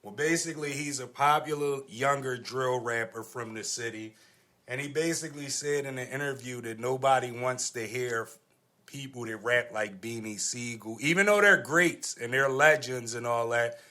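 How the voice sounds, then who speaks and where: A man's voice: mid-range voice, moderate pace, bright, forceful tone. A man hosting a casual panel discussion, talking in slangy, argumentative phrases that he repeats for emphasis. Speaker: podcast host.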